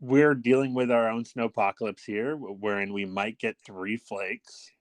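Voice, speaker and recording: A man's voice: lowish voice, measured pace, no room sound. A man co-hosting a casual podcast by phone, wry and mock-dramatic, with some casual swearing. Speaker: podcast co-host. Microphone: phone call.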